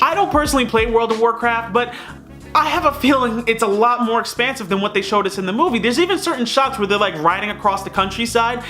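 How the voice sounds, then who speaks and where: A man giving a casual, opinionated movie review in an animated, colloquial way with mocking asides. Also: extremely high pitch.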